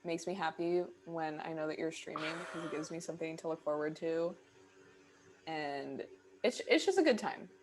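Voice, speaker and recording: Easygoing woman co-host, slightly low voice, laid-back and agreeable. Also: animated, darkish tone, a little hiss or hum. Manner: easygoing, agreeable, quick to laugh. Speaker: podcast co-host. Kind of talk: casual chat.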